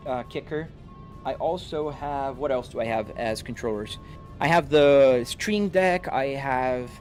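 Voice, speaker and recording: A man from Brazil with a Brazilian accent, chatting casually with viewers as he streams a driving game and reads chat aloud. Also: mid-range voice. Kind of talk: live-stream chat while gaming. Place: home sim rig room.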